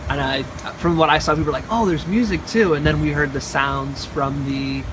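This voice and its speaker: An effusive, excited man introducing and conducting an interview as the host of a show, speaking outdoors. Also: on the high side, normal speed, noisy background. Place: outdoors at an art installation.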